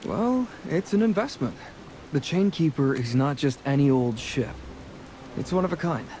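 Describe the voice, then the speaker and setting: A man's voice: a little high, expressive, moderate pace, darkish tone, a little hiss or hum, natural voice, low-quality recording. A man voicing a roguish, charming, chatty sea adventurer in a fantasy game, with a breezy, self-assured way of talking. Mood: confident, musing. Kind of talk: voiced dialogue in a fantasy game.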